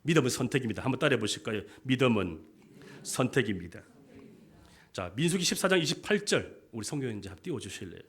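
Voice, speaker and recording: A man's voice: mid-range voice, sing-song, quick pace, a bit bright, husky. A male senior pastor preaching a sermon with passion, asking the congregation questions and having them repeat after him. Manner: impassioned preaching with call-and-response questions.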